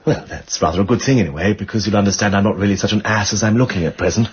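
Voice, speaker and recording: An actor playing an affable, aristocratic English gentleman detective in a radio drama, with breezy, politely old-fashioned phrasing and an upper-class accent. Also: on the low side, racing, muffled recording, background noise, close to an everyday voice. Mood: awkward, kind.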